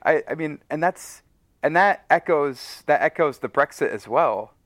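A man's voice: fairly high pitch, lively intonation, measured pace, dry recording. A man being interviewed as an audio drama creator, talking casually in a wry, self-deprecating way. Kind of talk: casual conversation.

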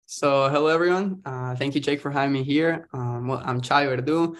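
A man's voice: mid-range voice, fairly quick. A young man, chatting upbeat as a podcast guest. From Costa Rica. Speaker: guest, startup founder. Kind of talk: casual interview chat.

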